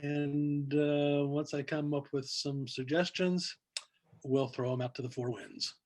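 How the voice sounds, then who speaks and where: A man giving a casual report on a video call, halting and hesitant in a self-deprecating way. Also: a little high, fairly even intonation, darkish tone. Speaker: club member giving a technical report.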